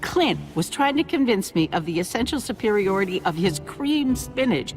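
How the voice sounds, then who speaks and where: An actress playing a courteous but guarded woman who leads a survivor community in a video game, speaking in a measured, diplomatic way. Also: mid-range voice, sing-song, faint music behind, lightly acted. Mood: gracious, playful.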